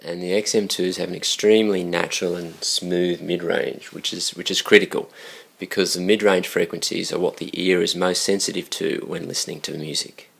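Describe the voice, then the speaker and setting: Male product expert, very low and gravelly, explaining off the cuff. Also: fairly even intonation, normal speed, a bit bright. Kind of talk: unscripted explanation to camera.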